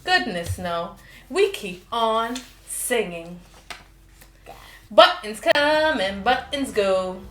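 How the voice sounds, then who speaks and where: A woman reading a children's storybook aloud as host, playful and animated, with questions for a child and singsong refrains. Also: medium pitch, drawn-out words, reverberant, faint music behind.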